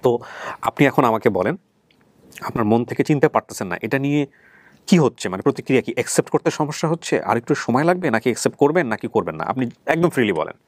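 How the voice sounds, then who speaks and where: A man's voice: mid-range voice, lively intonation, fast, no room sound. A man with a Bangladeshi accent hosting and interviewing conversationally, explaining things and probing with rephrased questions. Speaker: show host. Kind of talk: conversational interviewing on a family reunion show.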